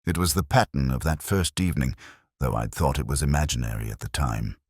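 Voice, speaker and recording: A man playing a weary, melancholy English police detective, narrating a radio mystery in a measured, literary, introspective manner. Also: a bass voice, husky, dry recording, clean studio sound, heavily acted. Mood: sombre, reflective. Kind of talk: slow literary first-person detective narration, radio drama.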